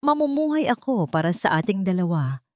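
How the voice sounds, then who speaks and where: A woman with a Filipino accent voicing a gentle, resolute woman at peace after grief, softly and tenderly, in an audio storybook. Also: mid-range voice, very animated, a little fast, dry recording, clean studio sound, lightly acted.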